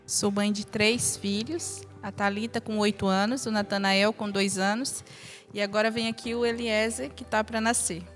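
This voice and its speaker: A woman's voice: medium pitch, fairly even intonation, normal speed, faint music behind. A Brazilian-accented woman in her early thirties, an interview guest telling personal stories casually. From northern Brazil. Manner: chuckles often while talking.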